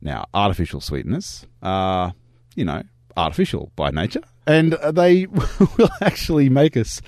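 A man with a slightly croaky voice, chatting and mocking the news as a radio co-host. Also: lowish voice, very animated, moderate pace, darkish tone, no room sound, studio quality. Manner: chatty, mocking, laughs often. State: croaky voice from yelling. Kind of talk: casual radio chat.

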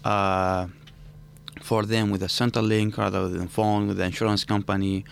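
A man's voice: fairly low pitch, flat delivery, moderate pace, vocal fry, a little hiss or hum. A man who is a native Arabic speaker, with an Arabic accent, answering conversationally as a student guest in a studio interview. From Arabic-speaking country. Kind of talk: conversational storytelling in non-native English.

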